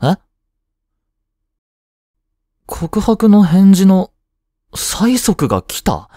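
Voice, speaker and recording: Man playing a jealous teenage boy in love, casual and hesitant, unhurried pace, widely varied intonation, romantic audio drama. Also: high-pitched, sing-song, mellow, dry recording, clean studio sound, heavily acted. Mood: teasing, then resolute. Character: lovestruck, jealous teenage schoolboy childhood friend. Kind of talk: Japanese romantic voice drama acting.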